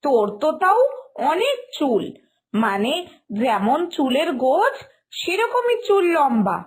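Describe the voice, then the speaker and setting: A woman voicing a sensible elder sister-in-law in a cartoon story, gently scolding and reassuring. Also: high-pitched, very animated, slow pace, exaggerated voice. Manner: chiding, reassuring. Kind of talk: Bengali animated moral story dialogue. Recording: music behind the voice.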